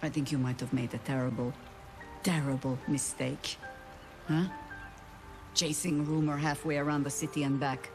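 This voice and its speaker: An actress playing a stern, world-weary older female druid in a video game, with a dry, measured, sardonic manner. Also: low voice, little pitch movement, over music. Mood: grudging gratitude. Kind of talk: theatrical video game dialogue.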